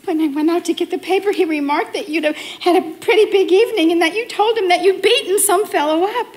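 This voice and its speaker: An anxious American wife in an old-time radio drama. Her voice is extremely high, plaintive and pleading, full of reproach. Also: fast talker. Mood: anxious, insistent. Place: live staged reading in a meeting room.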